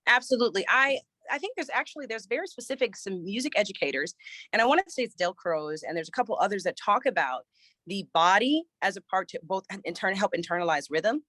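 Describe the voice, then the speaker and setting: A woman's voice: mid-range voice, lively intonation, fast, a bit bright, no room sound. A woman choir director and voice teacher, a little scratchy from a recent cold, answering interview questions thoughtfully with many restarts.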